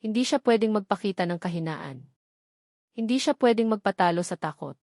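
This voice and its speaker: A woman's voice: medium pitch, expressive, brisk, a little mellow, dry recording, clean studio sound. A woman reading a scripted, dramatic romance story as narrator.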